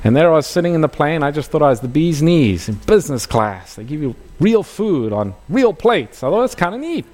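A man's voice: a little high, big pitch swings, fairly quick, hardly any pauses, darkish tone. A middle-aged man from South Africa, a pastor preaching a sermon and telling a personal story, asking the audience questions.

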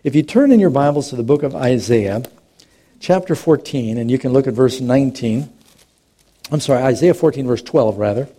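A middle-aged man delivers a sermon as a pastor. His voice sits at an ordinary pitch but sounds mellow and warm. He talks in a relaxed, conversational way, mixing stories and humor into the preaching. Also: normal speed.

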